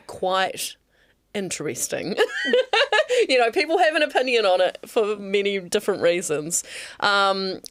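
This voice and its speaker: A woman's voice: medium pitch, no echo, studio quality. A woman, a guest telling her story in casual chat, quoting herself and laughing often. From New Zealand. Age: 50s.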